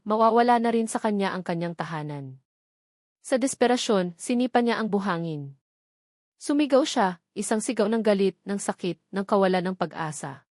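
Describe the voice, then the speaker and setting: A woman's voice: medium pitch, a little mellow, no echo, studio quality. A woman narrating a dramatic love story as a scripted audiobook.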